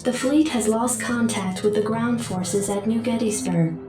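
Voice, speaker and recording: A woman's voice: fairly high pitch, measured pace. A female ship computer in a sci-fi game. Her delivery is formal and emotionless, drenched in echo and set far back, with music behind. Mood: impassive. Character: impassive female military ship computer adjutant. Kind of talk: sci-fi game briefing announcement. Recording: heavily echoed, distant, over music.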